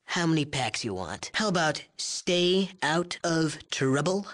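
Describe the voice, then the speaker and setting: An actor playing a cocky, amoral young drug chemist in a video game, sarcastic, whiny and leering, prone to stammering when flustered. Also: male voice, fairly high pitch, animated, a little slow, a bit bright. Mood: smug, impatient. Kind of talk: video game character dialogue.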